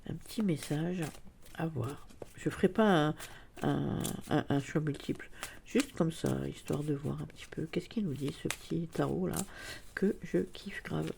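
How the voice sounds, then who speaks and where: A woman's voice: low voice, moderate pace, creaky, warm tone, a little hiss or hum. A woman chatting casually in a vlog, hesitant with many fillers, her nose stuffed up from a cold. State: congested, a cold. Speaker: vlogger. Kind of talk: casual chat.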